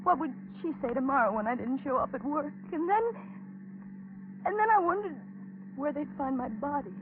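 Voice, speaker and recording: An actress playing a frightened, lonely young waitress, tense and hesitant, in a 1940s radio thriller. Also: female voice, high-pitched, animated, normal speed, slight background noise. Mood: fearful, wistful. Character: frightened, lonely young waitress held captive, telling her ordeal. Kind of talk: 1940s radio thriller acting and first-person narration. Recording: tinny 1940s radio recording with music.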